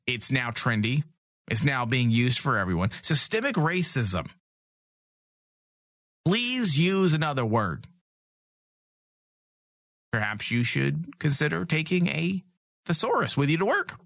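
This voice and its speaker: A man co-hosting a podcast, going hoarse, ranting sarcastically and swearing freely in crude comedic banter. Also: on the high side, big pitch swings, unhurried, long pauses, a bit bright, no room sound, clean studio sound. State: losing his voice. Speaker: podcast co-host.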